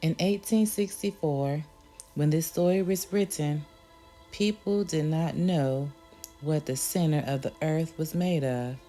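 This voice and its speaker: A woman reading a children's adventure story aloud in a careful, expressive storytelling manner, as the host of a reading channel. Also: low-pitched, measured pace, soft background music.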